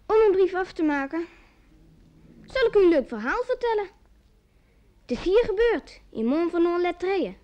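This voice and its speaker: A woman's voice: very high-pitched, very animated, moderate pace, long pauses, a little mellow. A woman playing a chatty child on holiday in a radio play, on a dull old recording. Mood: eager, chatty. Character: chatty child writing home from holiday with aunt.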